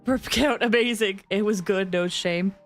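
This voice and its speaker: A woman hosting a game livestream, chatting casually with viewers in an expressive, excitable way and often repeating phrases for emphasis. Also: mid-range voice, unhurried, soft background music. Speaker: game streamer and host.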